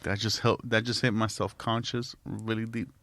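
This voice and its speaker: A man co-hosting a podcast in a laid-back way, cursing and firing quick comebacks. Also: fairly low pitch, level tone, fairly bright tone. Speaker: podcast co-host. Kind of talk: casual banter.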